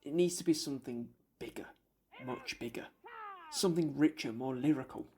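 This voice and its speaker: A man's voice: mid-range voice, normal speed, a little mellow, close to an everyday voice. A man acting a guarded, idealistic young soldier-poet in a wartime radio drama, reticent in his replies. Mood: earnest. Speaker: actor in a student radio drama.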